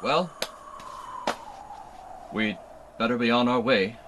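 Guy acting wisecracking space adventure hero, old time radio style, regular guy pitch, noisy background. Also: slow, a bit bright. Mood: wry, casual. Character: plucky, wisecracking human male adventure hero.

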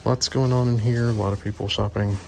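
A man's voice: on the low side, fairly quick, creaky. A man casually narrating a walk-through of a large indoor antique mall, filmed on a handheld phone. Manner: relaxed, chatty, steady. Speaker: antique booth owner touring a mall. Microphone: handheld phone camera. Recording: shop noise behind voice. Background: shop ambience.

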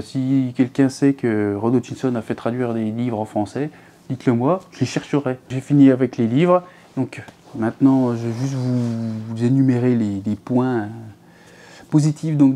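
A man's voice: medium pitch, mellow. A man in his thirties hosting a fishing channel, chatting casually to viewers from an armchair at home, rambling with many fillers and restarts. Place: living room at home, seated in an armchair.

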